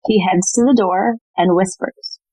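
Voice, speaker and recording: A woman's voice: lowish voice, fairly even intonation, measured pace, dark tone, breathy edge, dry recording, rough recording. A woman narrating an audio play, reading scripted stage directions.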